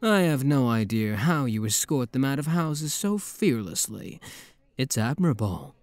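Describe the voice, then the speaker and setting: A man's voice: medium pitch, sing-song, a little slow, a little mellow, no echo. A man playing a playful, flirty demon prince with a teasing, theatrical manner in an intimate ASMR roleplay.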